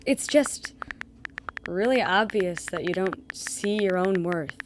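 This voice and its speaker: A woman's voice: on the low side, slow, background noise. A young woman playing a blunt, exasperated other woman in an audio drama. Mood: frank, exasperated. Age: young adult.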